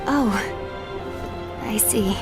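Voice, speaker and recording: A reserved young leader in a game cutscene, with a fairly high voice. She is earnest, formal and measured, with light music behind her. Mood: flustered. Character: dutiful, composed, reserved young female guild leader. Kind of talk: animated game cutscene acting.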